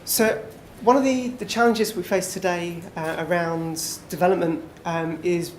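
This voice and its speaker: A man leads a relaxed, chatty session in a small conference room, leaning on fillers as he talks. His delivery stays flat and even. The room is echoey with faint noise behind him. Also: high voice, unhurried. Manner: relaxed, filler-heavy, conversational. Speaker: presenter. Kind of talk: conference talk.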